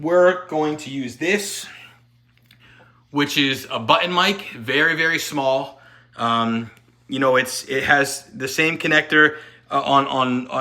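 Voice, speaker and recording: A man's voice: medium pitch, sharp tone. A man giving an unscripted step-by-step installation tutorial, talking as he works with his hands. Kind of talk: hands-on how-to demonstration, unscripted.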